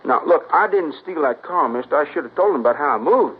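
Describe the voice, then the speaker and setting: A nervous drawling Southern youth. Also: male voice, on the high side, animated, fairly quick. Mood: evasive. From New Orleans. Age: young adult. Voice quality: drawling, hesitant, forgetful. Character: nervous young man, evasive car theft suspect. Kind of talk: 1950s radio drama acting. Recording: old lo-fi radio broadcast, husky.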